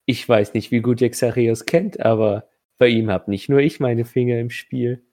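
A man's voice: mid-range voice, moderate pace, mellow, close to an everyday voice. A man voicing a playful, menacing shadow creature in a tabletop game, mocking and teasing his prey.

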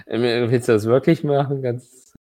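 A man's voice: medium pitch, fairly even intonation, normal speed, warm tone, no room sound, clean studio sound. A man running a tabletop role-playing game as game master, narrating off the cuff and thinking aloud.

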